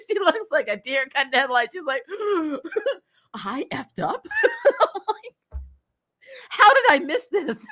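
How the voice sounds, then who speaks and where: A woman hosting a casual, opinionated TV recap, talking in an animated, exasperated way with swearing and frequent laughter. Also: a little high. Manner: acts out imagined dialogue. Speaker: host of a TV recap show. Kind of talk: casual opinionated review commentary.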